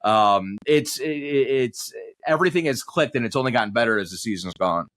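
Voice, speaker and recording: A man's voice: medium pitch, expressive, moderate pace, a bit bright. A man who works as a basketball announcer, giving conversational sports analysis as a guest in long, digressive explanations. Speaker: basketball radio play-by-play announcer, podcast guest.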